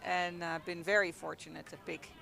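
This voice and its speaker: A woman's voice: lowish voice, flat delivery, moderate pace, vocal fry, pushed and bright. A young Dutch woman with a Dutch accent, chatting casually as a guest commentator at a hockey game, with lots of ums and laughs. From Netherlands. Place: outdoors at a field.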